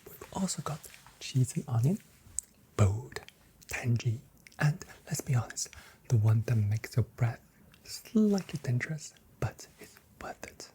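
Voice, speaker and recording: A person softly whispering a customer service role play for ASMR as a shop assistant, breathy and gentle, with playful, carefully phrased sales patter. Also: medium pitch, lively intonation, measured pace, slight background noise, rough recording.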